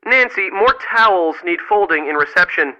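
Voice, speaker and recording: A sharp, bossy, sarcastic spa manager. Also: male voice, high-pitched, animated, normal speed, dry recording, lo-fi sound, full character voice. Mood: curt, commanding. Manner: curt, sarcastic, barking orders. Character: bossy irritable sarcastic male spa manager, secretly crooked. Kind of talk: video game voice acting.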